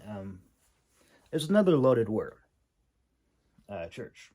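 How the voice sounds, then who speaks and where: Slow, halting man thinking aloud. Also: lowish voice, big pitch swings, mellow. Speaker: host critiquing a writer's manuscript. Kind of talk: casual spoken critique, unscripted.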